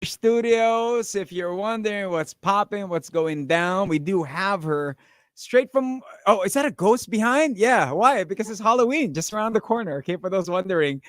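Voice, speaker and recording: A man's voice: high-pitched, lively intonation, normal speed, fairly bright tone, no echo. A man hosting a radio show from a studio, interviewing a guest in a casual, upbeat, chatty way.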